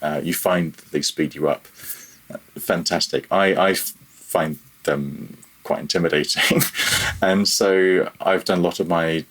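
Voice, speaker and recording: A man's voice: low voice, fairly even intonation, unhurried, a bit bright, low-quality recording. A man chatting casually as a podcast guest, self-deprecating and a little hesitant in how he phrases things. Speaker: podcast guest, freelance audio programmer. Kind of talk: casual interview chat.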